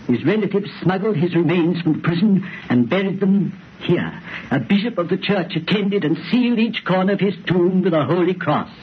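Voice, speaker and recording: An actor playing a solemn, superstitious preacher in an old radio horror play, speaking formally and pleadingly. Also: male voice, sits high, expressive, normal speed. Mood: grave storytelling. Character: solemn, superstitious preacher fearful of an evil legend. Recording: dull, noisy old radio recording.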